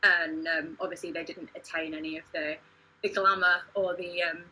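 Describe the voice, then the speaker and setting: A woman hosting a livestream discussion in an earnest, measured way. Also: lowish voice, level tone, bright, forceful tone, a little hiss or hum, rough recording. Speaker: podcast host, theatre company member.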